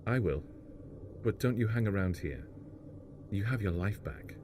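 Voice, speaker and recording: A man acting in an audio drama as a loyal, gruff mentor, terse and understated. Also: on the low side, animated, measured pace, background noise. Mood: tender, resigned. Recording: music behind the voice.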